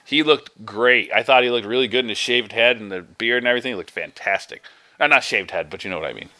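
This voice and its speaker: Podcast co-host, man, bright forceful tone, rambling and animated. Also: medium pitch, quick pace. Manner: rambling, animated, restarts often. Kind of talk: casual wrestling chat.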